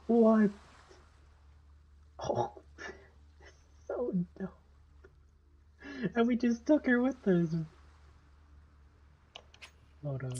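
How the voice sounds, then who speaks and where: Husky, exclamatory male game streamer. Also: sits high, lo-fi sound. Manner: informal, exclamatory, reactive. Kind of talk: casual reaction commentary while playing.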